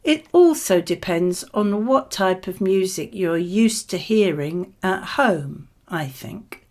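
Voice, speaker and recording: A woman with a medium-pitched voice reads out model answers for a speaking exam. She takes her time, articulating each phrase clearly while keeping a conversational tone. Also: lively intonation, takes it slowly, darkish tone. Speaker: model candidate in an English speaking exam lesson.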